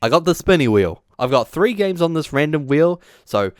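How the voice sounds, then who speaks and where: A man's voice: on the high side, big pitch swings, normal speed, dry recording, clean studio sound. A man presenting an opinionated video essay in a casual way, partly scripted, as the host. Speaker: YouTube host.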